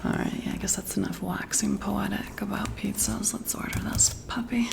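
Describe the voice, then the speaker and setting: A woman playing a friendly, teasing boss working late, in a breathy, intimate, soft-spoken ASMR roleplay. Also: medium pitch, little pitch movement. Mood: casual, friendly. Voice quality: breathy, whispery. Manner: slow, intimate, conversational. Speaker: ASMR performer.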